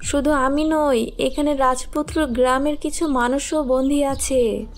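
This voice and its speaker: Cartoon blue fairy, voiced by a woman: high, gentle, earnest, lingering on words as she warns and guides. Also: steady tone. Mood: earnest warning. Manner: slow, drawn-out, earnest warnings. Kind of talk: animated fairy-tale cartoon acting.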